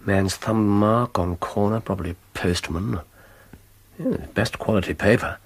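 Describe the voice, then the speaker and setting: An actor with an English accent playing a brilliant, teasing Victorian detective, musing and precise, in British radio drama style. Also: male voice, fairly low pitch, husky. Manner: musing, precise, with hums and drawn-out phrases.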